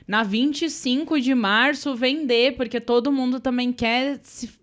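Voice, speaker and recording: A woman's voice: sits high, fairly even intonation, unhurried. A woman with a Brazilian accent chatting casually on a panel, telling examples with playful asides. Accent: Brazilian Portuguese. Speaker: podcast panelist. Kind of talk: casual chat.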